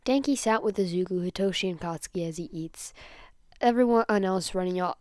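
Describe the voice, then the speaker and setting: A woman's voice: mid-range voice, a little mellow, no room sound, studio quality. A woman giving an amateur read-aloud of fan fiction, casual in style and stumbling over words now and then before correcting herself. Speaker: podfic reader.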